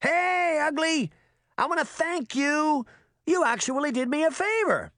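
A man voicing a cocky, mischievous fairy boy in a children's fantasy audiobook, boastful, taunting and loud. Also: extremely high pitch, expressive, unhurried, no echo, studio quality, pitched above the performer's own voice, exaggerated voice. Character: cocky mischievous immortal fairy boy, gleefully rebellious trickster.

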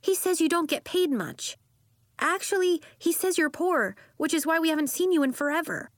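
A woman's voice: high-pitched, sing-song, slow, dry recording, clean studio sound, pitched above the performer's own voice, exaggerated voice. A woman voicing a timid, earnest young girl in a story, small-voiced, plaintive and questioning. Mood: blurting. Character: timid, earnest young schoolgirl, anxious but persistent.

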